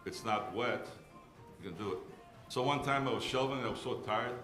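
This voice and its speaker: A man's voice: mid-range voice, a little fast, a bit bright. An older man from Greece, a guest musician, chatting on a live music show in a rambling, restarting way. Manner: rambling, repeats words and restarts. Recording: roomy venue with music behind.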